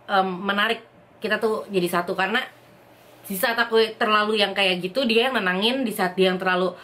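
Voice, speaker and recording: Chatty young woman vlogger. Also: mid-range voice. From Indonesia. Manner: lively, chatty, quick bursts, reads questions aloud. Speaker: vlogger answering viewer questions. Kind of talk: casual chat.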